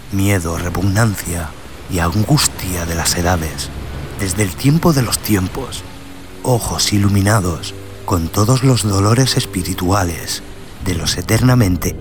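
Deep-voiced man narrating horror over music. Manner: solemn, theatrical delivery. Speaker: host and narrator of a horror audio story show. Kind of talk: dramatic scripted horror narration.

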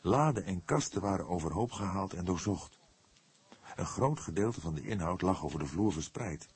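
A man's voice: low-pitched, animated, moderate pace, darkish tone, breathy edge. A man narrating a crime novel as an audiobook, reading scripted prose with dramatic storytelling.

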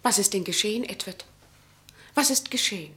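An actress in a German radio drama playing an anxious young mistress, earnest and intense. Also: female voice, mid-range voice, expressive, moderate pace, pushed and bright. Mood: troubled, intense.

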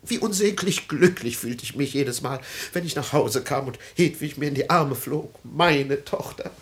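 A man's voice: medium pitch, normal speed, close to an everyday voice. An actor playing an anguished, suspicious father in a radio drama, emotional and declamatory, moving between muttering and outcry. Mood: wounded, bitter. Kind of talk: theatrical radio drama acting.

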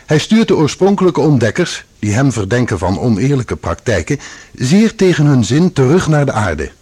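A man narrating a scripted radio drama with a husky voice. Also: medium pitch, big pitch swings, moderate pace, a little mellow.

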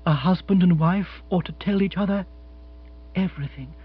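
A husky, muffled storybook rendering of an indignant young fiancée, performed by a man. The pitch is raised and the delivery emphatic and scolding, with plenty of spirit. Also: moderate pace. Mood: reproachful. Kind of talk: comic audiobook character acting. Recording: muffled recording with hum.

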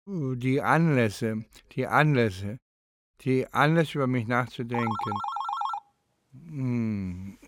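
A middle-aged or older man from East Germany speaks very slowly, in a medium-pitched voice. He recollects and reflects as an interviewee, deliberate and halting, often pausing to find the right words. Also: lively intonation, very noisy.

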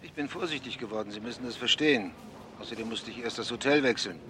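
An actor playing a wary, sardonic journalist in a German radio play, with a guarded, dry delivery. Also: male voice, medium pitch, fast, background noise, close to an everyday voice. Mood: wary, defensive.

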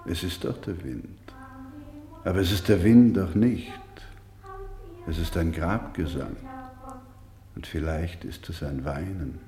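An actor playing a weary, devout, gentle doctor in a radio play, solemn and halting, with swings between hush and fervour. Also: male voice, low-pitched, reverberant, faint music behind. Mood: grave, sorrowful. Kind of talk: dramatic radio play acting.

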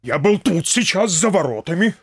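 A man's voice: high-pitched, sing-song, moderate pace, breathy edge, no echo, exaggerated voice. A man playing an unruffled police superintendent, matter-of-fact and full of excuses, in a comic audio play. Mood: unruffled, excusing. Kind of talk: theatrical comedy audio drama acting.

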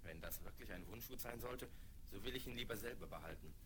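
An actor playing a brave, clever young prince in a declamatory 1970s children's radio play. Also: male voice, lowish voice, quick pace, natural voice. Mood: scheming. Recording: very noisy old vinyl.

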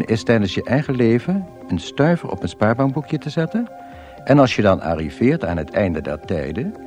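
A man playing a deadpan, measured narrator of cosmic absurdities in a science-fiction comedy radio play. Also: mid-range voice, natural voice.

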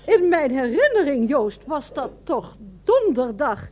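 A woman's voice: sits high, very animated, a little slow, muffled recording. An actress playing a fussy old mother hosting a guest in a radio play, chiding and matter-of-fact. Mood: insistent. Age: older. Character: old widowed mother, fussy, teasingly prim hostess. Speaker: elderly hostess, mother.

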